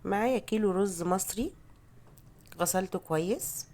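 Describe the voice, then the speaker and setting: A woman's voice: mid-range voice, normal speed, warm tone. A woman with an Egyptian Arabic accent gives a casual, chatty, self-deprecating recipe voice-over as a home cook, her voice altered by a cold. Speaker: home cooking vlogger.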